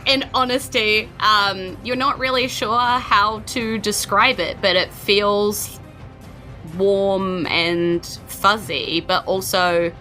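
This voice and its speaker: A woman's voice: medium pitch, fairly even intonation, slow pace, pushed and bright, soft background music. A woman with a New Zealand accent running a tabletop game as dungeon master, patiently explaining the rules.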